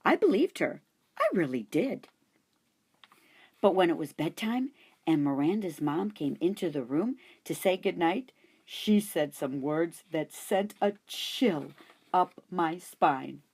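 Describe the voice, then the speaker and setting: A woman narrating a first-person children's story with an animated, playful delivery. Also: on the low side, slow pace, husky. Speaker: audiobook narrator.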